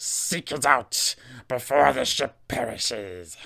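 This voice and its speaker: A man voicing a cold little alien gnome mind flayer for a tabletop game, speaking in formal, stilted, ominous phrases with a gravelly creak. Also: mid-range voice, sharp tone, heavily acted. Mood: grave, measured. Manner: slow, stilted, formal speech. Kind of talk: game villain roleplay monologue.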